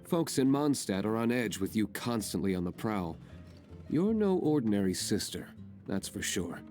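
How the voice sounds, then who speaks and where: A man's voice: lowish voice, very animated, normal speed, a little mellow, soft background music. An actor voicing a stern, aloof young nobleman in a video game, dry and reserved.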